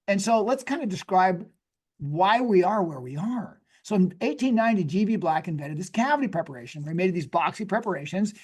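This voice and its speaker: A man with a slightly raspy, talk-worn voice, explaining technical matters conversationally as a guest expert, in an emphatic, folksy way with rhetorical questions. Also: high voice, darkish tone. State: voice worn from days of talking. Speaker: guest expert, dentist and inventor.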